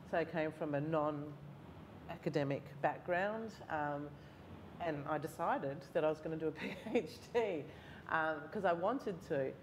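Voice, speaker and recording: Woman, Australian accent, very low voice, candid storytelling, faint hall noise. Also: a little mellow. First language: Mauritian Creole or Italian. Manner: candid, storytelling, self-deprecating humour. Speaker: panelist, creative writing lecturer. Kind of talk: panel discussion. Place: large hall, seated on stage.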